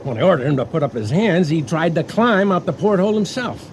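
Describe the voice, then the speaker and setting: A young Coast Guard officer in a vintage radio play. His voice is fairly high and very animated, with brisk official authority, over clear recording noise. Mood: reporting briskly. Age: young adult. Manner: commanding, official. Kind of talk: old-time radio drama acting. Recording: noisy old radio recording.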